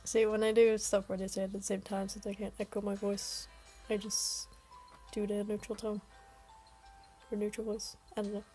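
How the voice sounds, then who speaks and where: A woman's voice: mid-range voice, completely flat delivery, normal speed, mellow, soft background music. A woman reading a game story aloud, breaking in with casual, self-deprecating asides. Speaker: story reader and video host. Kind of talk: casual commentary between read lines.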